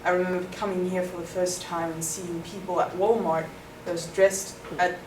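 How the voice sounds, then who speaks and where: A woman's voice: fairly low pitch, level tone, normal speed. A young woman from South Africa whose first language is Afrikaans, giving thoughtful interview answers with many ums. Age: young adult. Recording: echoey room, far from the mic, noisy.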